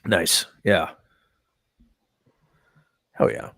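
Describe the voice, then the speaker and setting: A man's voice: drawn-out words, sharp tone, dry recording. A man from Texas hosting a casual chat podcast, rambling with lots of fillers and restarts. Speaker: podcast host. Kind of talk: casual chat about wrestling and gaming.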